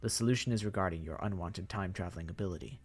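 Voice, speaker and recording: A man voicing a polite, formal zombie mastermind who speaks in a slow, measured and softly menacing way. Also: sits low, natural voice. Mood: calm, courteous. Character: courtly, eerily polite male zombie mastermind.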